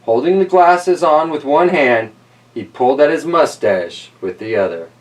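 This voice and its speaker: A man's voice: fairly high pitch, animated, unhurried, far from the mic, rough recording. A man reading a picture book aloud for children, dropping in playful, wry asides as he goes. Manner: playful, wry commentary on the story. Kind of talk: animated children's story reading with casual asides.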